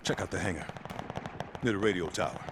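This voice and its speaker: Actor as a deep-voiced, stern American army sergeant. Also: male voice, fast, background noise, natural voice. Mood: stern, commanding. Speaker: game character. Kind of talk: cinematic war game acting. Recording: loud music over the dialogue.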